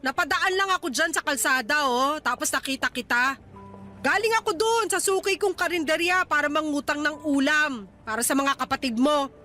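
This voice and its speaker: A high, piercing voice for a sharp-tongued middle-aged mother, acted by a woman. She scolds and exclaims bluntly in radio drama style, over faint music. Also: moderate pace. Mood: exasperated complaining. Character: nagging, sharp-tongued mother of a young married daughter. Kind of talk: Tagalog radio drama acting.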